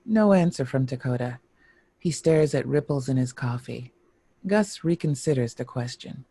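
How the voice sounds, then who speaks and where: A man's voice: medium pitch, animated, normal speed, warm tone, slightly rough. A man giving scripted narration, reading a screenplay's stage directions.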